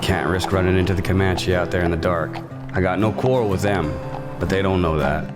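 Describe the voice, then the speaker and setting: An actor playing a gruff, world-weary bounty hunter in a western audio drama, terse, hard-bitten and profane. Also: male voice, low-pitched, fairly even intonation, a little fast, close to an everyday voice. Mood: wary. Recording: music bed behind the voice.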